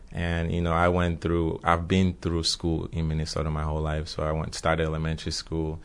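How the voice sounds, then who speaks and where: A man's voice: deep voice, a bit bright, clean tone. A young man from Cameroon, co-hosting a podcast in easygoing casual conversation, often repeating himself and using fillers. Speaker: podcast co-host.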